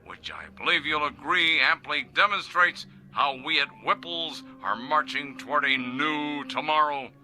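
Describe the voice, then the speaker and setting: An actor playing a pompous, self-satisfied factory boss in a radio drama, presenting to shareholders with a showy salesman's delivery and grand pauses. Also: on the high side, bright, forceful tone, faint music behind, poor audio. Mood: boastful, upbeat. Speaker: company head addressing shareholders. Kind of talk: radio drama acting as a corporate video presentation.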